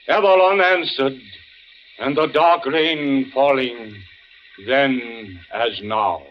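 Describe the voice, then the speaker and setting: An actor playing a theatrical, grieving old stage actor in 1940s radio style, declamatory, with dramatic pauses. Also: male voice, mid-range voice. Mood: mournful, wistful. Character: theatrical grieving old actor father, half-mad, accusing. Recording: muffled, noisy old radio recording.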